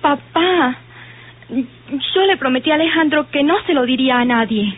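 Earnest young Mexican daughter, high voice, radio melodrama, tinny narrow sound. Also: female voice, lively intonation, moderate pace. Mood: anxious. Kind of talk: vintage radio drama acting.

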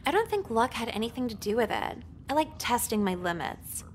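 Casting: a sly, nonchalant teen schoolgirl for a visual novel, higher register, provocative and manipulative. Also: sing-song, brisk, slight background noise. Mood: sly, casual.

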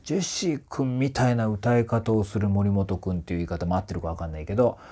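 A man in his forties giving casual, explanatory commentary to camera as a voice trainer, in a slightly husky voice. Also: fairly low pitch, fast. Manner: animated, often sings short phrases to demonstrate. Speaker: voice trainer reviewing singers. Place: small indoor room with curtains.

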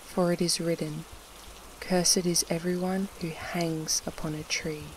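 A narrator reading scripture and prayer for a sleep meditation in a measured, solemn way with pauses between short phrases, in a devotional style. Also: mid-range voice, a little hiss or hum, rough recording. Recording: steady rain sound over the voice. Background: soft rain.

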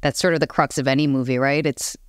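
Female podcast panelist, notably low clear voice, dry recording, earnest and informal delivery. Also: steady tone, quick pace, dry recording. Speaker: podcast panelist discussing films and games. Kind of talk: casual panel chat.

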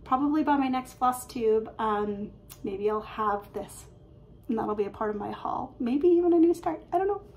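Excited chatty woman vlogging. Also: fairly high pitch. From Canada. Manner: quick, excited, chatty with frequent fillers and laughs. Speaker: cross-stitch vlogger. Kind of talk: casual show-and-tell chat. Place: living room at home, indoors. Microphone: webcam at desk distance.

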